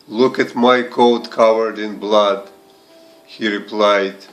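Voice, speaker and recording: A man reading verse aloud in a measured recitation, in short deliberate phrases set apart by pauses. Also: on the low side, steady tone, a bit bright, rough recording. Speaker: poetry reader and translator. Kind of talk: slow, measured poetry recitation.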